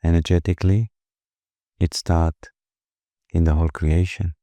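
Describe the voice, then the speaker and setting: Very low, mellow male meditation guide. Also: flat delivery, dry recording. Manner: slow, gentle, soothing delivery with pauses.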